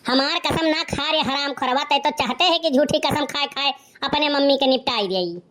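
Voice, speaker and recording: A woman voicing a sharp-tongued, exasperated village mother in a comic cartoon, scolding sarcastically with an Awadhi accent. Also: high-pitched, moderate pace, slight background noise.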